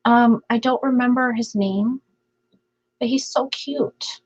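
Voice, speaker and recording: A woman's voice: a little high, steady tone, slow, slightly rough. Chatty woman streaming from home, voice scratchy and half gone, a bit lo-fi. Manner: chatty, laughs often, many fillers. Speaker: livestream host. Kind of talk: casual chat reading viewer comments.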